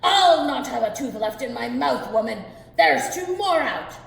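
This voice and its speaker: A woman's voice: on the high side, lively intonation, slow pace, gravelly, a bit bright, exaggerated voice. A woman voicing a huge, gluttonous male giant who bellows and blusters, heard in a roomy hall with long reverb. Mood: outraged.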